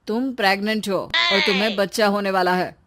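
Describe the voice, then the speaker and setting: Doctor voiced by a woman: measured, factual, businesslike, for a cartoon bedtime story. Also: mid-range voice, heavy background noise, close to an everyday voice. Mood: matter-of-fact. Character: matter-of-fact hospital doctor informing patients.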